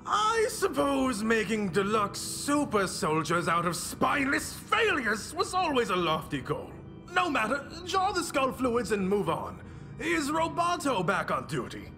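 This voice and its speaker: An actor playing an imperious, gleeful villain boss in a video game, with theatrical, drawn-out emphatic delivery over music. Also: medium pitch, wildly swooping pitch. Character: scheming villainous boss plotting super soldiers, imperious, gleeful.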